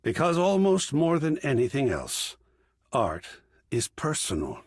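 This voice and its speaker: Dramatic artsy connoisseur game character, savors every word, pitch all over the place, breathy, really dry close sound. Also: male voice, wildly swooping pitch, measured pace, dry recording. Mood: rapt, reverent. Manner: grandiloquent, dramatic, savoring words. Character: effusive, theatrical art connoisseur, a living piece of artwork. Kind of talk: theatrical game character dialogue.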